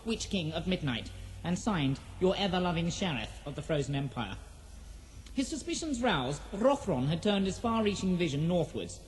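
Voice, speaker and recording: A woman narrator reading a scripted fantasy drama in a solemn, measured storytelling manner. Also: fairly low pitch, a little mellow, poor audio. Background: prominent music.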